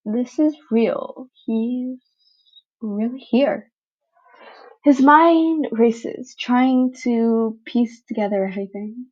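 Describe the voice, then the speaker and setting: Slow-paced woman narrating a dramatic story. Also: on the high side, darkish tone. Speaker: fan fiction narrator. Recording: dull lo-fi home recording.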